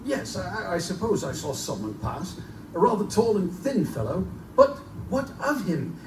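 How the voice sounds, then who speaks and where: A man's voice: sits high, big pitch swings, measured pace. A man playing a concerned, reasonable professor, formal and exclamatory, in a live gothic stage drama. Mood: alarmed concern. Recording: echoey distant live recording, background noise.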